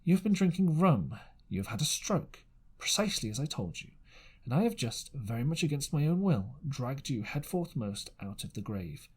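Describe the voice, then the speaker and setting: Man acting brisk, stern, husky storybook doctor. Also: mid-range voice, big pitch swings, darkish tone. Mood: stern, scolding. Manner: commanding, blunt, lecturing. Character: brisk, stern, scornful male country doctor.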